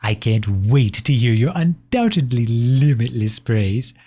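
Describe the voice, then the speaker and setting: A man's voice: medium pitch, animated, a little slow, warm tone. Man acting a pompous, swooping, lovesick imperial suitor. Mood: smug, fond. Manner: pompous, condescending, sugary. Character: the British Empire as a pompous, possessive, cloyingly affectionate suitor. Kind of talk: scripted ASMR roleplay, letters read aloud.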